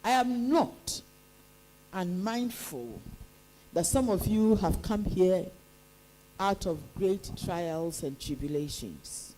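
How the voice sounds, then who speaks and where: A woman acting as quiz master, reading each contest question out deliberately and then repeating it. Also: lowish voice, expressive, mellow, low-quality recording. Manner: deliberate, reads each line twice.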